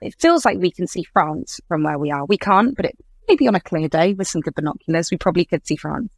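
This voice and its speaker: A woman's voice: fairly low pitch, animated, brisk, no echo. An English woman in her thirties chatting as a podcast guest, talking freely and filling with "like" and "I guess". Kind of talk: casual interview chat.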